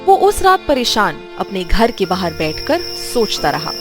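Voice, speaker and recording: A woman's voice: medium pitch, very animated, a little slow. A woman reading scripted narration for a children's bedtime story. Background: music.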